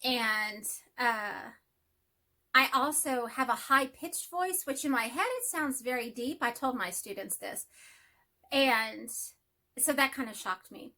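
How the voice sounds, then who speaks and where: A woman's voice: fairly high pitch, lively intonation, takes it slowly, sharp tone. A middle-aged woman with a Texas twang and a nasal voice, chatting casually to camera about her life. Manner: rambling, friendly, self-deprecating. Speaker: hobby vlogger and math teacher.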